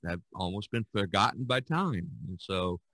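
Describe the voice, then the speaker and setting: Bright-voiced male podcast host, rambling. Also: deep voice, animated, moderate pace, dry recording. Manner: rambling, repeats himself. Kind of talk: casual podcast chat.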